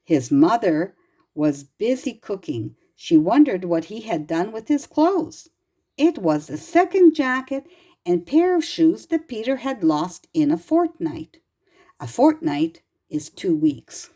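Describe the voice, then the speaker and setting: A woman narrates a children's storybook at a slow, careful pace. Her voice is soft-edged and mellow, with plenty of rise and fall in pitch. She reads expressively and gently, as if to small children. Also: big pitch swings. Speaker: storybook reader.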